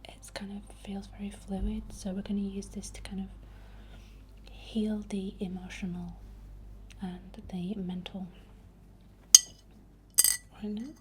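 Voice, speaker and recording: A woman whispering breathily and gently as an ASMR host, chatting and guiding a healing ritual in an unhurried way. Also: medium pitch, flat delivery, background noise.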